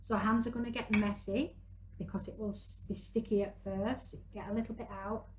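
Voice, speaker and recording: Woman in her 30s showing a kids craft, chatty and instructive, medium pitch, bit of noise in the room. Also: fairly even intonation, normal speed. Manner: chatty, instructive, talks while working with her hands. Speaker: children's activity presenter. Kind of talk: casual craft demonstration for parents and young children.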